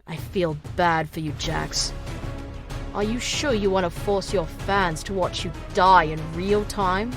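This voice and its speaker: A woman's voice: fairly low pitch, slow pace, music in the background. A woman playing a menacing villain in an audio drama, taunting with mock sweetness and condescension. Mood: cold menace. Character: mocking, menacing villain taunting a young magician.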